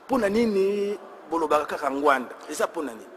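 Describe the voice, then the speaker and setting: A man's voice: on the high side, lively intonation, fairly quick, in a large hall, a crowd in the background. A man with a Congolese accent who hosts the show and questions his guest in a probing way. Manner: probing, reads out the critics' complaints.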